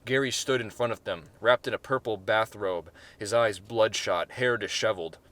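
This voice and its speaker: A man's voice: on the low side, moderate pace, a bit bright, dry recording. A man casually reading his own story. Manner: casual, with profanity and self-corrections.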